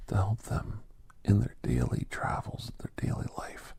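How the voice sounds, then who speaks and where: A man playing a gentle, solemn doctor who tells a holiday story in a hushed, breathy, gravelly ASMR whisper, deliberate and reverent, with long pauses. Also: fairly low pitch, big pitch swings, no room sound, exaggerated voice. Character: gentle, solemn male doctor recounting a holiday tale to aliens. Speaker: narrator and storyteller.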